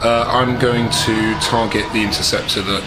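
A man's voice: on the low side, fairly even intonation, moderate pace, very noisy. A man talking casually as a player in a tabletop roleplaying game.

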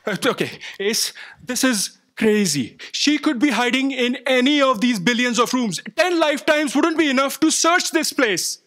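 Exasperated sidekick for stage sci-fi comedy, played by a man: very high-pitched, hesitant, easily defeated. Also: expressive, moderate pace, hardly any pauses, bright, forceful tone, full character voice. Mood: exasperated, hopeless. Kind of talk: staged audio drama comedy. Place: on stage in a theatre. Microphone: stand microphone.

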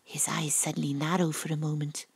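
A woman's voice: sits low, flat delivery, fairly quick, gravelly, slightly rough, no echo, full character voice. A woman playing a determined, wry Welsh private detective, acting and narrating in a noir audio drama. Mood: hushed, wry.

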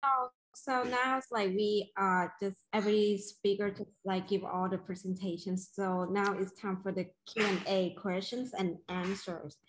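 A woman's voice: medium pitch, steady tone, unhurried, slight background noise, low-quality recording. A young woman moderating a webinar on a video call, speaking informally with loose phrasing. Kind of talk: casual moderation.

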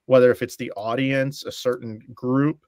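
A middle-aged man talking over a video call from a room at home, with a dry, close sound. He builds long stories and slips into acted-out lines of dialogue, often restarting his phrases. His voice sits at an ordinary pitch for a man. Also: flat delivery, normal speed, a little mellow, no room sound. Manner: storytelling, acting out quoted dialogue, restarts phrases. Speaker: guest, bowling stream commentator. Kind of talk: casual podcast chat.

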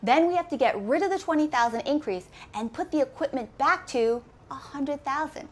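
A woman in her thirties teaching accounting, walking through each step and asking quick questions as she goes. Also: sits high, expressive. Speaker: accounting instructor. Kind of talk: recorded lesson walkthrough. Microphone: camera framed head and shoulders.

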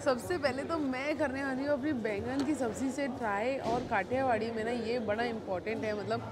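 A woman in her twenties giving an excited walkthrough of a buffet on a lapel mic in a busy indoor restaurant. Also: on the high side, a little fast. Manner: excited, exclaiming over dishes. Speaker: food vlogger. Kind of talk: walkthrough food vlog. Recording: crowded restaurant background. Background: restaurant crowd chatter.

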